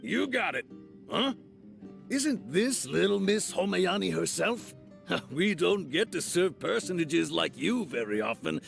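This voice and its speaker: A man voicing an eager, cheerful restaurant waiter who pitches the menu in an upbeat, obliging way. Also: big pitch swings, a little slow, vocal fry, fairly bright tone, faint music behind, exaggerated voice. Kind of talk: video game cutscene voice acting.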